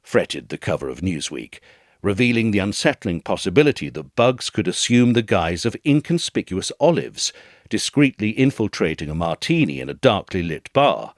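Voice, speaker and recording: A man reading a scripted essay as narrator, in a measured, formal way with dramatic phrasing. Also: deep voice, very animated, dry recording, studio quality.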